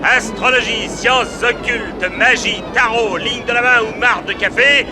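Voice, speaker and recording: An actor playing a bombastic fairground barker in a radio drama, declaiming grandiose sales patter to passers-by. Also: male voice, extremely high pitch, a little slow. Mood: grandiose, haranguing. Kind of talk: French radio drama acting. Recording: loud music behind the voice.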